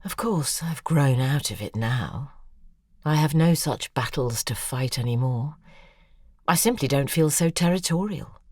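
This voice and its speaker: A woman's voice: rumbling low, animated, measured pace, darkish tone, breathy edge, dry recording, studio quality, full character voice. An actress playing a cold, haughty woman in an audio drama, speaking in an articulate, disdainful and cutting way. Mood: bitter contempt. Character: cold, haughty older woman, scornful former object of a crush. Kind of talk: audio drama acting, reading out emails.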